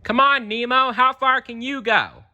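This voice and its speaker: A man's voice: extremely high pitch, steady tone, unhurried, bright, forceful tone, lo-fi sound, pitched above the performer's own voice, exaggerated voice. A man voicing a cocky young fish schoolboy in a children's storybook, childlike and taunting.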